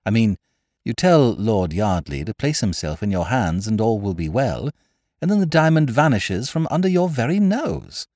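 A man playing an earnest English gentleman sidekick in an audiobook, politely blunt and insistent. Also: on the low side, big pitch swings, moderate pace, dry recording, studio quality. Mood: awkward.

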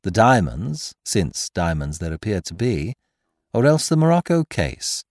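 A man voicing a triumphant, mocking gentleman thief in an audiobook, theatrical and commanding, firing off questions and taunts. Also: fairly low pitch, very animated, a little slow, no room sound, clean studio sound. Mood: taunting, impatient. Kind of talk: dramatised audiobook character voice.

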